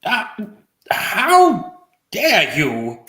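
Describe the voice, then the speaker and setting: A woman playing a stiff, formal gentleman scientist in a comic play table read, stilted and haughty, in an echoey recording. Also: medium pitch, huge pitch swings, extremely slow, heavily acted. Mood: guarded, stiff. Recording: echoey, roomy microphone sound.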